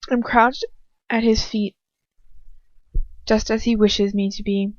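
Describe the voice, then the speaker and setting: A woman narrates a story in the first person with plenty of feeling. Her delivery is a little halting, and the dry home recording sounds dull and lo-fi. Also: fairly high pitch, flat delivery, dry recording. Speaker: amateur fan fiction reader.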